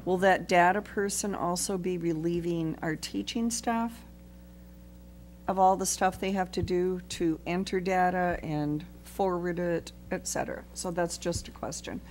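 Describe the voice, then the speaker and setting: A middle-aged woman at a school board meeting, asking measured questions into a desk microphone. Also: lowish voice, steady tone, a little mellow, slight background noise.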